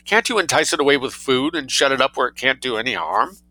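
A man voicing an excitable, indignant society woman in a short story, wailing her complaints. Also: medium pitch, quick pace, pitched above the performer's own voice. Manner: wailing, screaming.

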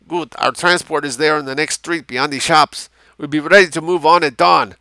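A man's voice: fairly high pitch, fairly even intonation, normal speed, sharp tone, no room sound, voice pushed up. A man voicing a dapper, polite military commander in a war novel. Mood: polite, stalling.

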